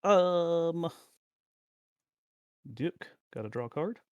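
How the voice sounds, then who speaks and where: A man's voice: on the high side, expressive, drawn-out words, darkish tone, clean tone, no room sound, highs cut off. Middle aged guy playing cards, casual chat, mumbling moves to himself, dry close sound. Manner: mutters to himself and addresses the cards. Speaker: card game player. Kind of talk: casual game chat, talking through moves.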